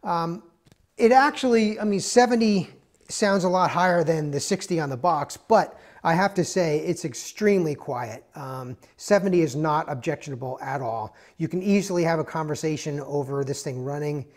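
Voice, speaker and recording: A man's voice: fairly high pitch, moderate pace. A man giving a casual, rambling tool review in a garage workshop, with many fillers and restarts. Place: indoors, garage or workshop with concrete floor.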